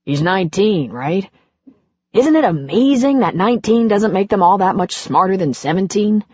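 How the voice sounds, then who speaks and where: An easygoing, teasing ordinary older man, as a woman narrator voices him, slow and amused, without a lowered pitch. Her voice is slightly husky and lively. The recording is dry and lo-fi. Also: no room sound. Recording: dry, dull lo-fi audiobook recording.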